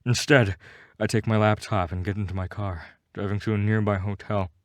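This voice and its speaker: A man with a fairly low voice narrates a scripted first-person tale, recorded close and dry. He delivers it with mock-serious melodrama, treating every line as grave drama. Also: little pitch movement, a little fast, no echo. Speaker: story narrator.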